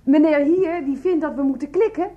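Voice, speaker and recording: Actress as high-pitched, sarcastic, combative woman. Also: moderate pace, muffled recording, lightly acted. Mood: mocking. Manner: sarcastic, accusing, emphatic. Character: sharp-tongued, combative woman in a household quarrel. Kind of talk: 1990s radio play acting.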